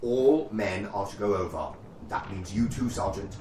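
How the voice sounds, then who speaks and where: An actor playing a jolly, pompous upper-class British army captain in a radio play, with plummy, politely hesitant speech. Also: male voice, deep voice, moderate pace, dark tone, natural voice. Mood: businesslike. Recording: roomy, distant mic, faint noise.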